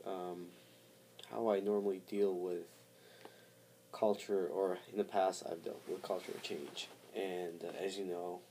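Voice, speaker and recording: Deep gravelly man, halting vlog talk. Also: dark tone, slight background noise. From born in Montreal, Canada, raised in Armenia from four to seventeen. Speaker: vlogger. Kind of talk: casual personal talk to camera.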